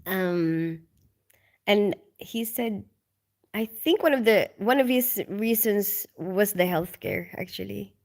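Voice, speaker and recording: A woman's voice: mid-range voice, lively intonation, slow pace, darkish tone. A woman from the Philippines hosting a casual live stream at home at night. She reads viewer comments aloud and chats with lots of ums and small laughs, and she sounds tired and sleepy.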